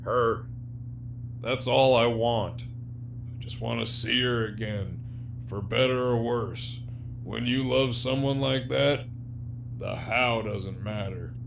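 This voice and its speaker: A man's voice: mid-range voice, slow pace, gravelly, pauses often. A man acting in an audio drama as a jaded, sardonic, despairing prisoner villain with a profane, wry, teasing drawl. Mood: bleak, weary. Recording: faint background hum and noise.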